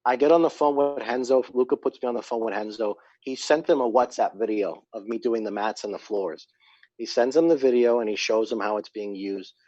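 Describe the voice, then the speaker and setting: A man guest on a casual podcast, telling stories in an animated way and acting out what people said. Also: medium pitch, brisk, dry recording, highs cut off. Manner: animated storyteller, acts out quotes. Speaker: guest, company founder.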